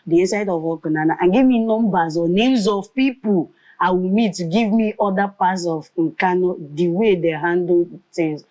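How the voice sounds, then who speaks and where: Low-voiced Nigerian woman telling her story. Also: low-quality recording. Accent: Nigerian, Igbo. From Nigeria, Nkanu land. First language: Igbo. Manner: lively storyteller, mixing Nigerian Pidgin and Igbo into English. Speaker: comedian and radio presenter, interview guest. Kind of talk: storytelling in a conversational interview.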